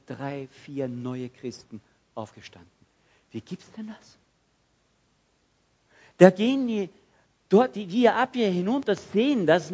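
Husky German preacher with sudden loud bursts. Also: male voice, on the high side, highs cut off. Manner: dramatic swings from loud to hushed, acts out prayers. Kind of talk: sermon.

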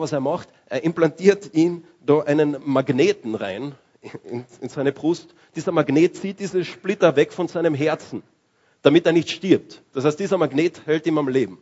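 A man's voice: on the high side, darkish tone, dull audio. A man preaching a sermon in a conversational way, often restarting and searching for words.

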